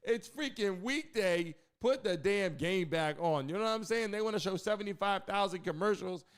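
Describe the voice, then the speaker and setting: A man's voice: high voice, normal speed, smooth. A brash, profane man hosting a live sports watch-party stream, hyping up his viewers as he comments. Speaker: livestream host reacting to a game. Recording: noisy livestream with clicks.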